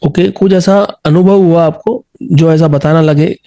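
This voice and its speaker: A man's voice: a little high, steady tone, measured pace, mellow. A man with an Indian accent speaking as an encouraging singing coach in a conversational interview, mixing in English phrases.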